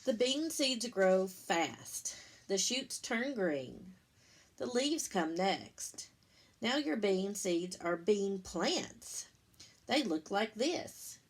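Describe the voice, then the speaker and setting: A woman reading a picture book to children like a teacher, in simple, deliberate phrases, pausing to explain and ask questions. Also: mid-range voice, big pitch swings, slightly rough. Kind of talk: read-aloud storytime with explanatory asides.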